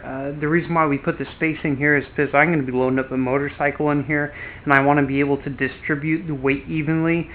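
A man with a slightly high voice talks through a casual DIY walkthrough inside a cargo trailer, relaxed and explanatory, with fillers and restarts. The audio is thin and phone-like, with a faint hiss behind him. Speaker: DIY channel host. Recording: tinny lo-fi audio with faint background noise.

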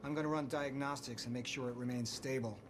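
An actor playing a driven, persuasive scientist in earnest, cinematic video game dialogue. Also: male voice, mid-range voice, steady tone, fast, noisy background, close to an everyday voice. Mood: eager, focused. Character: driven, persuasive male scientist friend, secretly nervous. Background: music.

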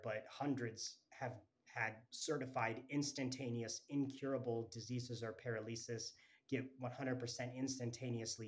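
A man's voice: medium pitch, a little mellow. A man in his thirties from Minnesota, talking earnestly into a handheld phone in a small indoor room, piling clause on clause with restarts as he makes a devotional case. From Twin Cities, Minnesota. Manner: fervent, rambling, piles up clauses with restarts. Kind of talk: earnest religious monologue to camera. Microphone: phone held close, selfie framing.

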